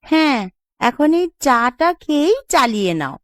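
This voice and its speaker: Woman voicing a high-pitched, wistful cartoon wife. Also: very animated, normal speed, no echo. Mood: sulky, wistful. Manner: plaintive, coaxing. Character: sulky, wistful young wife longing for home. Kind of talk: cartoon voice acting.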